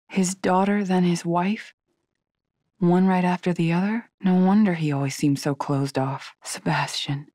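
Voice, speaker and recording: A woman's voice: lowish voice, a little mellow, husky, no room sound, clean studio sound. A young woman voicing a guarded, determined female agent in a horror video game, serious and terse.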